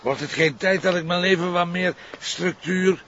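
A man's voice: sits high, steady tone, moderate pace, a bit bright. A man with a Flemish accent playing a weary, disillusioned, stubborn middle-aged police detective in a crime drama, grumbling as he talks. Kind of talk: naturalistic TV crime drama acting. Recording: dull lo-fi drama audio, faint noise.

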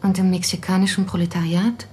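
A woman playing a thoughtful researcher in a radio play, speaking in a reflective, measured and breathy voice. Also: on the low side, level tone, a little mellow. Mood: absorbed, thoughtful. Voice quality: very husky, breathy. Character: absorbed, thoughtful woman researching a mysterious author.

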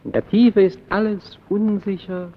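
Haunted lighthouse keeper, very slow halting delivery, fairly high voice, intense, radio drama, dull old recording. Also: male voice, level tone. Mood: brooding, resigned. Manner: slow, halting, intense, swelling and sinking to near murmur. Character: brooding solitary male lighthouse keeper, haunted by the sea. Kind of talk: German radio play acting.

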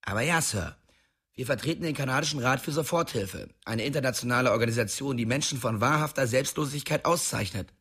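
An actor playing a cheeky, glib young prankster in a radio drama, with a slightly husky voice. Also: male voice, mid-range voice, brisk, a bit bright. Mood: mock official. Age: young adult. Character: cheeky young prankster, narrator's small-town best buddy.

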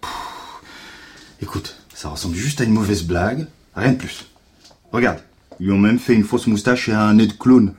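Casting: male actor as sarcastic, hungry sidekick investigator, low-pitched, wry, for audio fiction with some background noise. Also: normal speed, darkish tone, natural voice. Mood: dismissive, wry. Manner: wry, mocking, talkative. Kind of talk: naturalistic French audio fiction acting.

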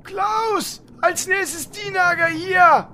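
A woman's voice: very high voice, drawn-out words, noisy background, full character voice. A woman playing an old warrior giving pet-style commands in a comedic audio drama. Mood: commanding. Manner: scolding and praising in short commands.

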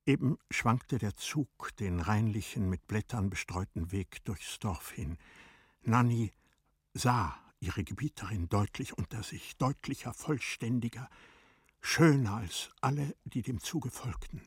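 A man narrating a literary radio play in a measured, grave manner with deliberate pauses and a husky voice. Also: on the low side, dry recording, clean studio sound.

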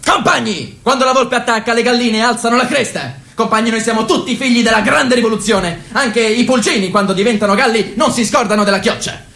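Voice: mid-range voice, brisk, a bit bright, roomy sound, natural voice. An actor playing a crude, ambitious, boot-licking party minister in a radio drama, declaiming in folksy proverbs. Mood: indignant, sly. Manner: declamatory, folksy, repeatedly addressing comrades. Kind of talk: theatrical radio drama monologue.

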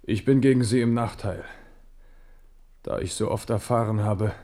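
A man's voice: on the low side, normal speed, mellow, a little hiss or hum, close to an everyday voice. An actor in a German radio drama playing a proud, impulsive, war-weary king. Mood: weary, reflective.

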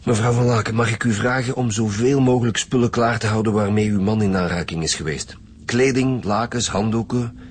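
An actor playing a steady, businesslike Flemish homicide squad leader in a radio drama, direct but polite. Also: male voice, lowish voice, brisk, breathy edge. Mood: polite, firm.

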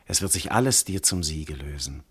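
Amorous, commanding god, wide-swinging voice. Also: male voice, very deep voice, animated, normal speed, breathy edge, exaggerated voice. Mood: tender, soothing. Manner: solemn, intense, declamatory. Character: amorous, commanding male god in a husband's guise. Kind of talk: classical verse radio drama.

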